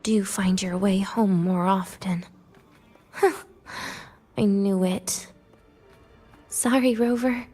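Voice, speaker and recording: A woman playing a wistful, softly teasing young heroine in a video game, speaking in a soft, breathy, unhurried way. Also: medium pitch, steady tone, faint music behind. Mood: bittersweet, teasing. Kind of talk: video game character acting.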